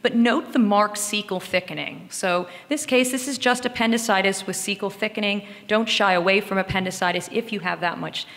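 A woman's voice: mid-range voice, fairly quick, hardly any pauses, fairly bright tone, big-room sound. A woman giving a case-based medical lecture, explaining as she talks through slides. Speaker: radiologist lecturer.

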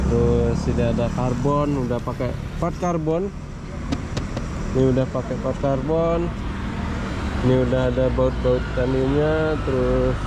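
A young man, casually walking around a modified motorcycle and pointing out its parts, recorded outdoors on a camera mic. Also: mid-range voice. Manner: slow, relaxed, pointing things out with fillers. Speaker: motovlogger. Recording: loud outdoor street noise.

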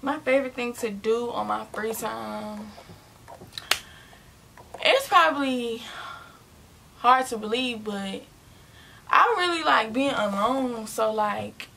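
A nineteen-year-old woman, chatting casually and rambling through a Q and A in her bedroom, often correcting herself and dropping into quiet asides. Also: on the high side, slow pace, vocal fry, pauses often, slight background noise. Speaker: vlogger. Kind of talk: casual Q and A talking to viewers. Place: bedroom, indoors, small.

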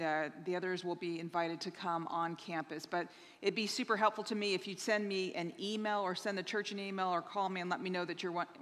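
A woman's voice: lowish voice, a bit bright. A woman pastor in her thirties speaking at a lectern in a church sanctuary, earnestly sharing announcements with frequent restarts. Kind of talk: church announcements to online congregation. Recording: reverberant church hall.

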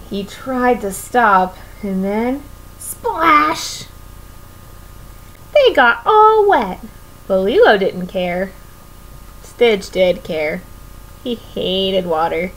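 A woman's voice: a little high, low-quality recording. A young woman reading a picture book aloud to children expressively, often putting questions to her listeners. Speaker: children's story reader. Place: small room at home, indoors.

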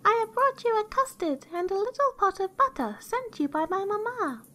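A woman's voice: extremely high pitch, sing-song, normal speed, sharp tone, pitched above the performer's own voice, heavily acted. A woman voicing a wily wolf who mimics a sweet little girl in a storybook, falsely sweet and childish.

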